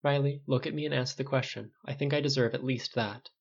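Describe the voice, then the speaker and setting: A man voicing a calm, gentle but firm male caretaker with a quiet, frank manner in an audiobook story. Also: mid-range voice, fast, lo-fi sound, voice pushed up, natural voice. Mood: gently firm. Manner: quiet, soft, frank, uncompromising.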